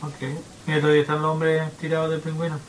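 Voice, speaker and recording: A man's voice: on the high side, completely flat delivery, quick pace, a little hiss or hum, low-quality recording. A man hosting a gaming video with casual, playful, joking commentary. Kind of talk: casual let's-play commentary.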